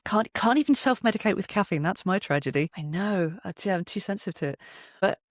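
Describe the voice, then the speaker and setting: A podcast guest with a medium-pitched voice talks through their craft in conversation. They explain discursively, breaking off for asides and picking the thread back up. The recording is very dry, with hardly any room sound. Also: expressive, fast talker, no room sound. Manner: discursive, self-interrupting asides. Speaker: podcast guest, storyteller and voice performer. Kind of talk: conversational interview, explaining from experience.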